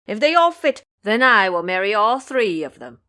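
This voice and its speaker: Woman putting on a loud, whining foolish pig-man. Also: mid-range voice, sing-song, moderate pace. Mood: eager. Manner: loud, pleading, exclamatory. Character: foolish, whining, lustful male pig monk disciple.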